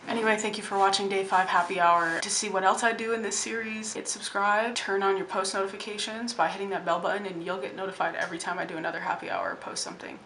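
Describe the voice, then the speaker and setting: Blunt deep-voiced young woman, gravelly. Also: a little hiss or hum, poor audio. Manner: blunt, wry and profane; often hushed, half-whispering. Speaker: vlogger. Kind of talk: casual vlog talk to camera. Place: home room.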